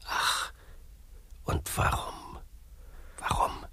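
An actor playing a sly, worldly older priest in a radio play, whispering with unctuous, probing gravity. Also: male voice, very slow, bright, forceful tone, no echo. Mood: stern, inquisitive. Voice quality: breathy, husky. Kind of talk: German radio play acting.

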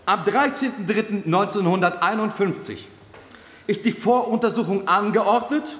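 An actor playing a formal, faintly sardonic chronicler who declaims a legal record in a radio drama. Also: male voice, high voice. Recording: echoey reverberant hall, faint noise.

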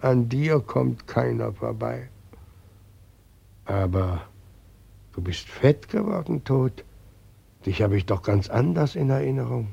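An actor playing a helpless, lamenting old God nobody believes in, with a husky, creaky voice in a muffled radio play recording. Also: male voice, mid-range voice, expressive, warm tone, full character voice. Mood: wistful, envious. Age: older. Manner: lamenting, slow, repetitive. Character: forgotten God, a weeping, helpless old man. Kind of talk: post-war German radio play acting.

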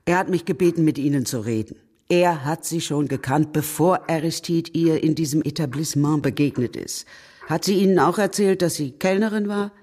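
A low, husky older woman in a radio crime drama. She is a brisk, protective spinster aunt, confiding in a plain, matter-of-fact way. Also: darkish tone.

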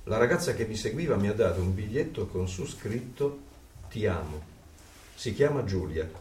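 A man's voice: fairly low pitch, lively intonation, normal speed, darkish tone, a little hiss or hum, natural voice. A man acting an earnest, reflective dissident voicing secret thoughts in a radio drama. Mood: amazed, uneasy. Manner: reflective, intense. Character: earnest, brooding male dissident narrating his secret thoughts. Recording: echoey, distant over music.

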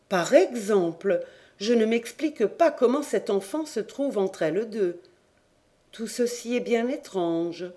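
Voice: medium pitch, a little mellow, voice pushed up. A reader voicing an older queen who is shrewd and scolding toward her husband.

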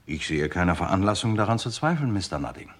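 Lively, husky, wry crime-story narrator. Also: male voice, low-pitched, a little fast. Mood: polite, guarded. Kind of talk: first-person radio crime drama narration.

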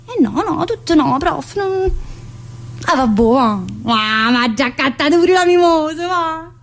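A woman's voice: sits high, animated, a little slow. A woman playing a cheeky, needling female prison inmate in a colloquial theatre monologue, with a southern Italian accent and a teasing, familiar manner. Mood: playful, mocking. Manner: teasing, familiar, full of rhetorical questions. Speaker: stage actress performing a monologue. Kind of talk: theatrical monologue in colloquial dialect-tinged speech.

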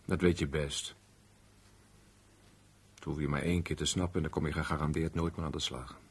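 A man's voice: a bass voice, fairly even intonation, a little fast, slightly rough, heavily acted. An actor playing a sullen, grumbling middle-aged tradesman husband in a naturalistic radio play, aggrieved and plain-spoken. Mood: sullen, bitter. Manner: grumbling, plain colloquial speech.

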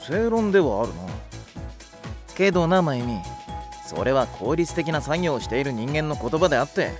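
Wry, animated teenage schoolboy. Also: male voice, fairly high pitch, moderate pace, over music. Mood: chiding. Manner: dry, blunt teasing. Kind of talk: Japanese anime audio drama acting.

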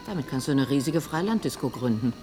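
A marine biologist in a radio play, a woman with a low, very animated voice. She is wry and insistent, needling with her questions. Clear ambient noise runs behind her. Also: fast. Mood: playful. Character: probing, teasing female marine biologist. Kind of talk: 1980s radio drama acting.

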